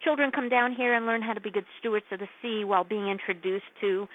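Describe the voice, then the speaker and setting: A woman's voice: mid-range voice, fairly even intonation, quick pace. Enthusiastic woman on a tinny phone line. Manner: enthusiastic, earnest, laughs often. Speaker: museum executive director, guest. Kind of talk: radio interview, casual chat.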